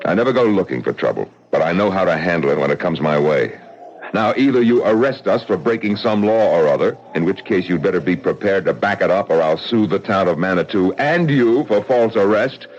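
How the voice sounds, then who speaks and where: A man's voice: low voice, animated, brisk, a little mellow. A brash tough guy. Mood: defiant. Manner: defiant, brash, stammers when shaken. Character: tough, defiant man from the east. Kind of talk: 1970s radio drama acting. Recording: dull, faintly hissy old radio broadcast.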